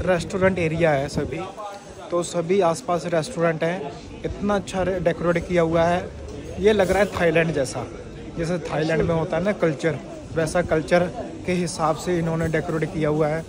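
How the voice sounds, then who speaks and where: A man chatting casually as a travel vlogger while walking along a city waterfront, pointing things out, repeating himself loosely. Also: sits high. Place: outdoors on a city waterfront street. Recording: outdoor traffic noise.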